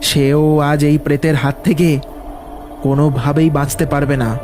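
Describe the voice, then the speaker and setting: A man's voice: a little high, level tone, moderate pace, slight background noise. A man giving scripted, dramatic narration of a horror cartoon story. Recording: loud music behind the voice.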